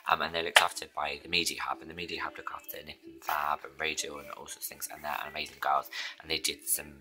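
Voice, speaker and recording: Man, beauty vlogger, husky hoarse voice, very low, sharp edgy tone, rambling and half-whispered. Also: flat delivery, fast. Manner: rambling and chatty, many ums, often drops into a whisper. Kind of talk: get-ready-with-me chat.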